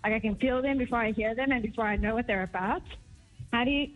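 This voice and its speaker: A woman's voice: on the high side, fast talker. Woman caller on a tinny phone line. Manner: earnest, thinking aloud with loose restarts. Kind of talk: caller asking a question.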